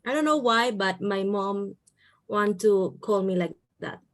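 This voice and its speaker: A woman's voice: medium pitch, a little slow, no echo, clean studio sound. An 18-year-old woman from Peru, a native Spanish speaker, introducing herself casually in English on a video call. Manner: casual, laughing, chatty. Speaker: law student.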